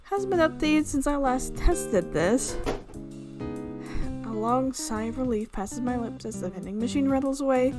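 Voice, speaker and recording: A woman's voice: high voice, animated, a little slow. A woman reading a visual novel aloud as a let's player, narrating dramatically and slipping in casual asides. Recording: music playing behind the voice.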